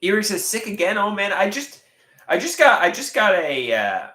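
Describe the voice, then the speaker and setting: A man in his late thirties talks casually to his livestream viewers in a bright, fairly high voice, and his nose sounds stuffed. He stumbles and restarts his sentences often, and his pitch swings widely. The room around him is noticeably echoey. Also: huge pitch swings. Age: 30s. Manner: halting, repeats words and restarts sentences. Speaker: livestream host. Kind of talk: casual livestream chat reading viewer comments.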